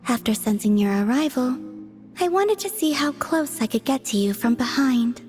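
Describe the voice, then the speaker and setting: A woman voicing a serene, guileless, enigmatic young maiden in a video game, speaking gently and without hurry. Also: high-pitched, lively intonation, moderate pace, soft background music. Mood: serene, wistful. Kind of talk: video game character acting.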